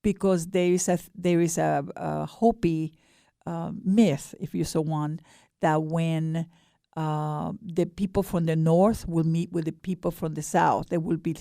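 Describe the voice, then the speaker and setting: A mature woman with an Ecuadorian Spanish accent gives a radio interview in a low, gravelly voice. She speaks earnestly, often stopping and restarting, and the sound is dry and close. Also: fairly even intonation, unhurried, warm tone, no room sound. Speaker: guest, board director of a peace nonprofit.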